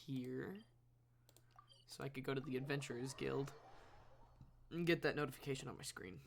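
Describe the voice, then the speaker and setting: A woman's voice: a bass voice, fairly even intonation, moderate pace, vocal fry, slight background noise. A woman streamer giving casual, blunt live commentary on a video game. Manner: reacts bluntly, talks to the game characters. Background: faint game music.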